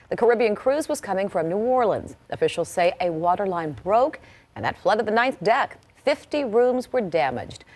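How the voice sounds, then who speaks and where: A woman with an American accent reading scripted television news as a morning anchor. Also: fairly low pitch.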